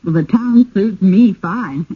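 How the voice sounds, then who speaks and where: An actress playing an agreeable, conciliatory wife in a radio drama, polite and gently persuasive. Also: female voice, mid-range voice, takes it slowly, husky. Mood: pleased, polite. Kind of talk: 1980s radio drama acting. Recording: muffled old radio broadcast.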